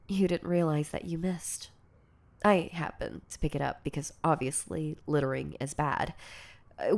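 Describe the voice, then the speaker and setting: Woman acting a hesitant, slow-talking yandere girlfriend. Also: low-pitched, vocal fry, heavily acted. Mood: shy, tentative. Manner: hesitant, stammering, soft-spoken confessing. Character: shy, insecure, lovestruck yandere girlfriend confessing obsessive devotion. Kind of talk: intimate ASMR audio roleplay.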